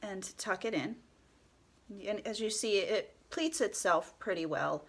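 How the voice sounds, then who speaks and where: A woman with a stuffy nose from a cold, calmly talking through a step-by-step tutorial and often dropping to a soft whisper. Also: medium pitch. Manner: calm, unhurried, often drops to a soft whisper. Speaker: head wrap tutorial host.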